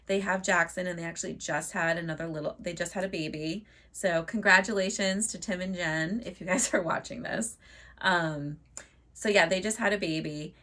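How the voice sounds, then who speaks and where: A woman vlogger chatting casually and upbeat to her viewers, her voice a bit hoarse from losing it. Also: on the low side, fairly bright tone. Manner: chatty and upbeat, many fillers like "like". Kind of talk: casual chat to camera.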